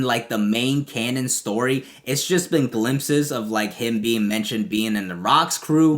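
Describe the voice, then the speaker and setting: Male YouTube host, native Chinese speaker, relaxed rambling commentary with fillers, average pitch. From China. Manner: rambling, filler-heavy, lively. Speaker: anime discussion youtuber. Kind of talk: casual commentary.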